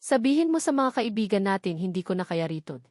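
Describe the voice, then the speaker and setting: A woman's voice: medium pitch, sing-song, brisk, darkish tone, no room sound, clean studio sound, close to an everyday voice. A woman playing a spoiled young heiress in an audiobook, desperate, indignant and complaining. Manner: plaintive, complaining.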